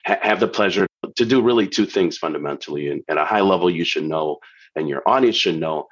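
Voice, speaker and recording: Deep-voiced Peru-born man, muffled line. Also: fairly quick. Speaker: guest, DEI leader. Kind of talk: interview answers.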